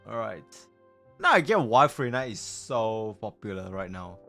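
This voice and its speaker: A woman's voice: a bass voice, very animated, moderate pace, fairly bright tone, soft background music. A woman streamer reacting casually to a game in an exclamatory, giggly manner.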